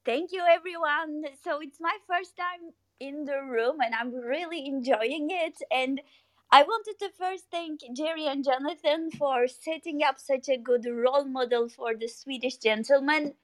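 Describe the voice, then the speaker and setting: Earnest high-voiced woman. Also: a bit bright. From Turkey. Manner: earnest, measured, with fillers. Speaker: caller. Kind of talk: earnest call-in comment.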